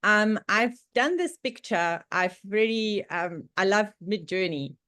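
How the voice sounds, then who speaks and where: A woman's voice: medium pitch, expressive, slow, sharp tone, dry recording, clean studio sound. A woman from the Czech Republic with a Czech accent, presenting on a video call in a chatty way, with frequent laughs and many fillers. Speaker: guest presenter. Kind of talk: online club presentation.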